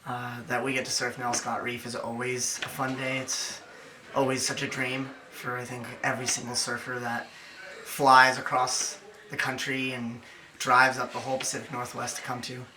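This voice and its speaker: A man's voice: medium pitch, monotone, normal speed, echoey room, distant mic, noisy background. A male surfer from Los Angeles giving an upbeat, enthusiastic contest interview. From Los Angeles, California.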